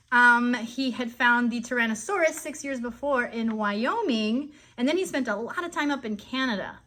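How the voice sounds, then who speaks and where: An animated woman hosting an informal live lesson for children, chatty and often checking in with questions. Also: high voice. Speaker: children's educational show host.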